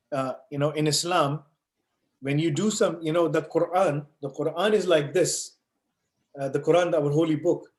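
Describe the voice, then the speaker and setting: A man running an online course session, explaining ideas with everyday analogies and checking in with "right?" and "you know". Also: on the high side. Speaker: online course instructor answering questions. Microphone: video call. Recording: echoey room.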